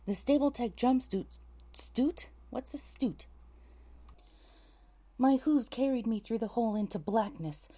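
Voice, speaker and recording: A woman's voice: on the high side, lively intonation, unhurried, pauses often, dark tone. A woman narrating a scripted story, breaking off to correct herself in exasperated asides. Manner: self-correcting, muttering exasperated asides between takes. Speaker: narrator recording an audiobook.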